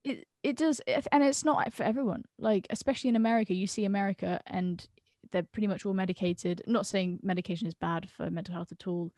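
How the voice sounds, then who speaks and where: A woman from the UK, a guest friend on a video call, talking frankly and in an animated way, often stumbling over her words. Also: medium pitch, fast talker, few pauses, no echo, studio quality. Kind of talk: casual video-call chat.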